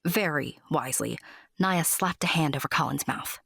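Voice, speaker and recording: A woman's voice: low voice, moderate pace, vocal fry, a bit bright, husky, dry recording, clean studio sound. A woman narrating a scripted storybook tale with wry, playful asides to the listener.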